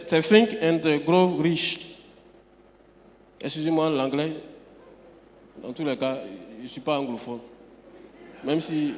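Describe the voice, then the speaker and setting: Male preacher, high-pitched and emphatic, restarts phrases mid-sentence; recorded with a long hall echo. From Côte d'Ivoire. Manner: emphatic, repeats words and restarts often. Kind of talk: sermon. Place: church sanctuary.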